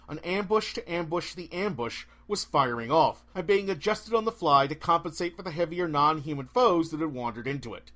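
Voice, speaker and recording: Male audiobook reader, lively melodic range, lightish pitch, expressive scripted fantasy narration.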